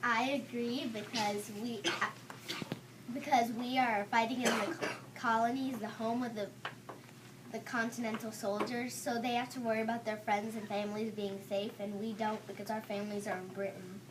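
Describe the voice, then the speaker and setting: A woman's voice: a little high, steady tone, moderate pace. A young female student making an argument in a classroom debate. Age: child or young student. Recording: distant mic, clearly noisy room.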